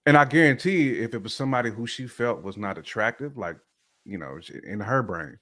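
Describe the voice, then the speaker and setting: A man's voice: mid-range voice, animated, a little fast, bright, forceful tone. A man giving casual reaction commentary as a co-host.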